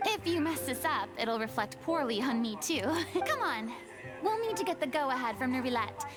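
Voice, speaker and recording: A high, girlish stage diva from a video game. She is self-assured and grandiose, declaiming with theatrical flourish over loud music. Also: lively intonation, moderate pace. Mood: playfully stern. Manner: grandiose, dramatic, haughty.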